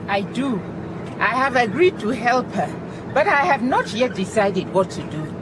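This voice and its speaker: An actress playing a wise Botswanan detective with a Southern African accent, formal, deliberate and affectionately firm, in a radio drama. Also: female voice, on the high side, sing-song. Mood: firm, knowing. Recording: clear outdoor vehicle noise.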